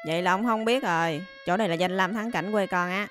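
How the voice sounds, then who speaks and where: Woman voicing a cheeky, quick-talking little rabbit. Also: on the low side, fairly bright tone, close to an everyday voice. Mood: cheerfully persuasive. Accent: southern Vietnamese. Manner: cheeky, nonchalant. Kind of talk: animated comedy dialogue. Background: music.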